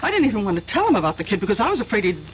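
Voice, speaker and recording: An actress playing a defensive, indignant wife of a mobster in an old-time radio drama. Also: female voice, mid-range voice, sing-song, racing, close to an everyday voice. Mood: uneasy. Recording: dull vintage radio broadcast, faint hiss.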